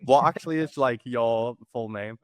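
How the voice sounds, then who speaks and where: A man's voice: medium pitch, normal speed, dry recording. A man hosting a casual poker podcast, asking long, rambling, friendly questions. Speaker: podcast host. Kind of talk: casual interview chat.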